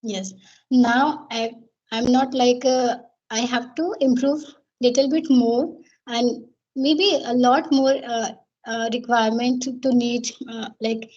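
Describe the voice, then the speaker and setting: Nervous woman English learner, husky voice, halting speech, dull video-call sound. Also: fairly high pitch, a little mellow. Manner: hesitant, many fillers and restarts. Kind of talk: conversation practice.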